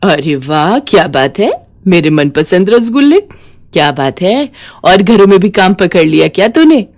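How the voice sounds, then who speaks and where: A woman's voice: fairly low pitch, sing-song, measured pace, a little mellow, heavily acted. A woman as a playfully scolding big-sister neighbour. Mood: teasing delight. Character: affectionate, teasing elder-sister neighbour woman. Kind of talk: storybook character voice.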